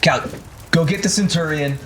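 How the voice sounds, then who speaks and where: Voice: medium pitch, normal speed, slight background noise. A player in a tabletop role-playing game, chatting casually and describing actions, with music behind. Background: background music score.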